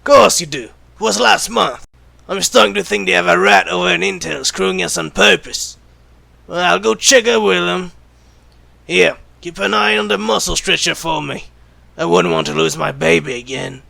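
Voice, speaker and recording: Casting: male actor as sardonic secret agent, high-pitched for a man, heavy vocal fry, flippant and teasing, audio roleplay. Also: moderate pace, sharp tone, no echo, heavily acted. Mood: brash, sarcastic. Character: callous, sarcastic male secret agent who jokes about killing. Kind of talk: ASMR roleplay acting.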